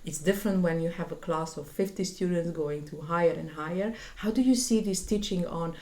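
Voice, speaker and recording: A woman hosting a podcast, reading a formal introduction in a measured way and then asking the guest questions. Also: fairly low pitch, darkish tone. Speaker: podcast host.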